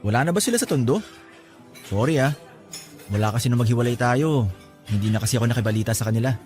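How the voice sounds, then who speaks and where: A man's voice: medium pitch, expressive, fast talker, a little mellow, faint music behind, natural voice. A man playing a nervous, evasive two-timing boyfriend in a radio drama, prone to stammering when flustered. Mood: casual, evasive. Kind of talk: Tagalog radio drama acting.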